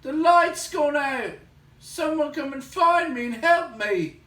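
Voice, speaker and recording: A man's voice: very high voice, lively intonation, takes it slowly, bright, forceful tone, pitched above the performer's own voice, heavily acted. A man voicing a small, timid hobbit burglar in a storybook, anxious, plaintive and full of self-doubt. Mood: panicked shouting. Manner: plaintive, crying out when frightened. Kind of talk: children's fantasy storybook read aloud. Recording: roomy, distant microphone, light noise.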